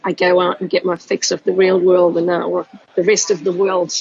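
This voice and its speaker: Gravelly, flat-toned woman with a mixed accent. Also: fairly low pitch, moderate pace, dry recording, highs cut off. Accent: mixed, hard to place. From Austria. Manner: steady, many ums and uhs. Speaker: guest, agile coach. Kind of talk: casual interview answers.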